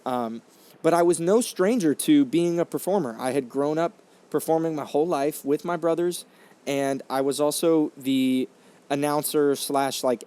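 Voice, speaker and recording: Brief: man, 20s, podcast host, earnest and reflective, mid-pitched voice, talks through restarts, condenser mic. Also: a little mellow. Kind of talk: casual storytelling monologue. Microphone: condenser microphone.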